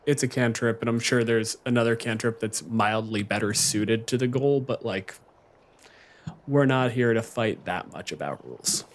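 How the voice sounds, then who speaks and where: A man with a fairly low voice acts as game master. He talks conversationally as he narrates and runs a tabletop roleplaying game. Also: normal speed.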